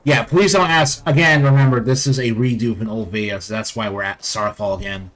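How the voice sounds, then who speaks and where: A man giving casual, low-key game commentary as a let's play host, with muttered asides. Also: fairly even intonation, a little fast, a bit bright. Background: faint game music.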